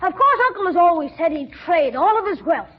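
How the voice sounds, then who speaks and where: A man's voice: extremely high pitch, expressive, a little fast. A man playing a cheeky, scheming young nephew in a brash, wheedling way, in 1940s radio comedy style. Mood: innocently sly. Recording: dull vintage radio recording.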